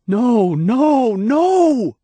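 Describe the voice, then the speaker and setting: A man's voice: extremely high pitch, sing-song, drawn-out words, dark tone, slightly rough, no echo, pitched above the performer's own voice, exaggerated voice. A man voicing a desperate male deputy who pleads and breaks, his voice cracking. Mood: begging.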